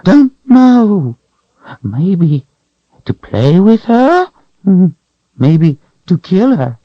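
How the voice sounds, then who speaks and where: A shrill, whining, grasping creature. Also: male voice, big pitch swings, very slow, no room sound, muffled recording, exaggerated voice. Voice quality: shrill whining, broken speech. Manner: whimpering, pleading, hissing. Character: cringing hairless creature, sly, whiny, grasping.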